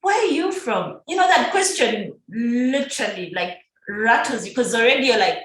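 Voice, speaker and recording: A middle-aged woman from Uganda, chatting as a podcast guest, animated and laughing often. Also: on the high side, normal speed, slight background noise. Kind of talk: casual conversational interview. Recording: echoey room.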